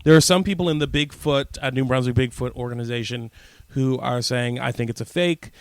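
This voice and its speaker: A man's voice: medium pitch, fairly quick, no echo. A man co-hosting a radio show, trading casual local-news banter in a jokey, mock-serious way. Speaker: co-host of a community radio show.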